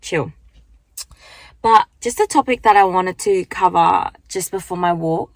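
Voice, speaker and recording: Young woman, croaky morning voice, rambling casual vlog chat into a handheld phone, dry close sound. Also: on the low side, measured pace, no room sound. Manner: chatty, rambling, lots of fillers. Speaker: vlogger. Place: car at the beach, then walking outdoors.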